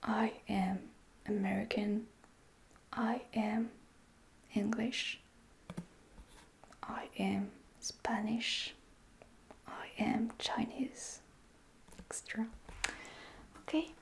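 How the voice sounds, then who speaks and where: A French woman, a native French speaker with a French accent, softly whispering a patient ASMR roleplay lesson as a French teacher. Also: medium pitch, steady tone, very slow, long pauses. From France. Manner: soft whispering, patient repetition of phrases.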